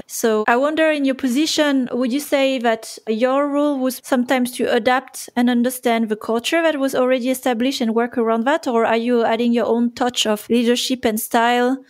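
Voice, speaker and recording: A woman's voice: sits high, fairly even intonation, brisk, hardly any pauses, no room sound, studio quality. A woman hosting an interview, asking long, thoughtful questions. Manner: attentive, thoughtful, asks long questions with fillers. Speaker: podcast host, interviewer.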